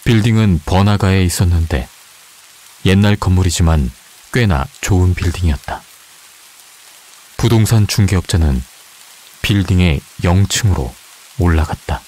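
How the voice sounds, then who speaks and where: A man calmly narrating a scripted urban legend in an even, matter-of-fact way. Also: sits low, normal speed, pauses often, a little hiss or hum. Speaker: storyteller.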